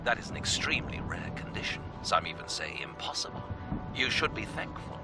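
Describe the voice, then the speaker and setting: A man's voice: mid-range voice, sing-song, lightly acted. Actor as a sweeping, theatrical male scientist. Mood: calculating, courteous. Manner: formal, measured, theatrical. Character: smooth, calculating pharmaceutical scientist, polite and ominous. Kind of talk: scripted game cutscene dialogue. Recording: music behind the voice.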